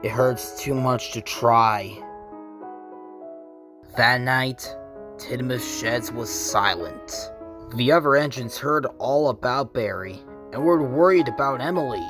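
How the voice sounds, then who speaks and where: Male narrator for a children's tale: measured, dramatic, unhurried read over a clear music bed. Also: medium pitch. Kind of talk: scripted children's story narration. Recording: prominent music behind the voice.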